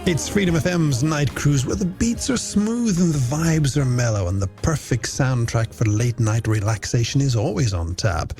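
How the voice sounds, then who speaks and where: A man's voice: mid-range voice, lively intonation, normal speed, few pauses, warm tone. A man presenting a late-night music radio show, chatting playfully and directly to listeners. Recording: radio broadcast over music bed.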